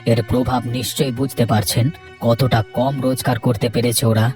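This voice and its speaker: A man's voice: mid-range voice, level tone, brisk, lightly acted. A man playing an earnest, firm village character in a cartoon, explaining things in a reasoning way. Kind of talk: animated cartoon dialogue. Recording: music bed behind the voice.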